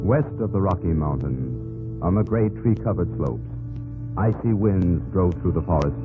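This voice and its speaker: A man's voice: deep voice, expressive, unhurried. An American man narrating in a solemn, grand, dramatic old-time radio style as announcer. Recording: muffled old radio recording, loud music.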